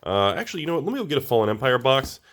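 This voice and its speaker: An American man with a slightly raspy voice, a little under the weather, talking casually and ramblingly to viewers as he reviews products. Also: medium pitch, very animated, rapid-fire, fairly bright tone. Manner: informal, rambling, addresses viewers directly. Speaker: collectibles channel host.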